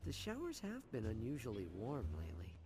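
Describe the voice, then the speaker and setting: A man's voice: mid-range voice, huge pitch swings, a little fast, noisy background. An actor playing a bewildered, chatty man in a video game cutscene.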